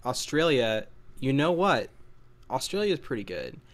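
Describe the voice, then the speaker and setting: A man's voice: medium pitch, very animated, moderate pace. Joking man from Missouri. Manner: crude, joking. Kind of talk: casual podcast banter.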